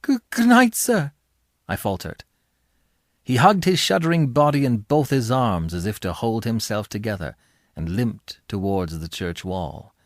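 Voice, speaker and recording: A man narrating a story as a dramatic audiobook reader, expressive and deliberate in his scripted delivery. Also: on the low side, no echo, clean studio sound.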